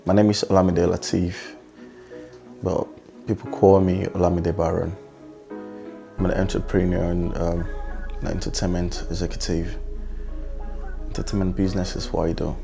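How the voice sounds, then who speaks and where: A man in an interview answering reflectively and hesitantly, with many pauses. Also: deep voice, little pitch movement, vocal fry, music in the background. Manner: hesitant, lots of um. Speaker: entrepreneur interviewee.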